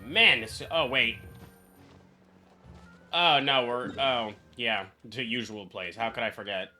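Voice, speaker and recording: A man streaming a video game with casual, blunt, excitable, foul-mouthed reaction commentary. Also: medium pitch, slow, faint music behind. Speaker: gaming streamer.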